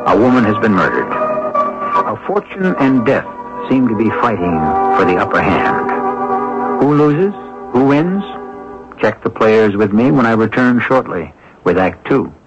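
Animated male narrator, theatrical, deliberate, radio mystery host, loud music behind. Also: medium pitch. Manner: theatrical, deliberate, rhetorical questions. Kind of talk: dramatic scripted narration. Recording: dull lo-fi 1970s radio broadcast. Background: prominent music.

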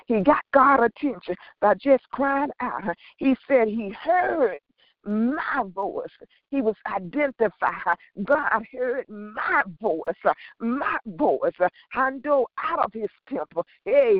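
A woman's voice: sits high, no echo, muffled recording. A woman preacher delivering a fervent, extemporaneous Pentecostal-style sermon in short, emphatic, repeated phrases, punctuated with "huh" and praise interjections.

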